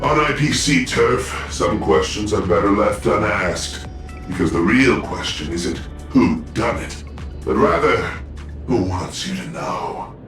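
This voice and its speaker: Theatrical, glib security officer, roomy echo. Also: male voice, lowish voice, sing-song, measured pace, a bit bright, recorded from a distance, soft background music, full character voice. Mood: sly. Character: flamboyant, self-mocking male corporate security officer posing as hero. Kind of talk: video game voice acting.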